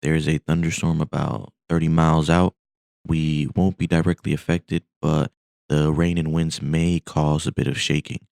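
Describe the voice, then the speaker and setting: A man playing a calm airline co-pilot in a story, making a measured, formal cabin announcement. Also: a bass voice, vocal fry, smooth, dry recording. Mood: calm, official.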